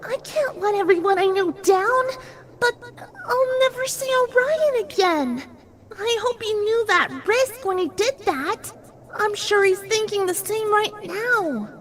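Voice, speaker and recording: Very high-voiced anxious little filly. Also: female voice, expressive, measured pace. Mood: anxious, sad. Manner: worried, self-doubting. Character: anxious young filly pony fretting to herself. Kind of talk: dramatic fan fiction audio reading.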